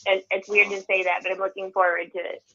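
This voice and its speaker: A woman in her forties on a group call, earnest and apologetic, halting with many fillers. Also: mid-range voice, flat delivery, pushed and bright. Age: 40s. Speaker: participant. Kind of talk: casual call-in chat. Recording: muffled phone-line audio.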